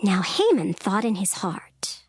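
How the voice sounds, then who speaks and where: A woman narrating a dramatized scripture recording in a measured, solemn storytelling manner. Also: medium pitch, very animated, a little mellow, no room sound, studio quality. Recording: narration over clear background music. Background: background music.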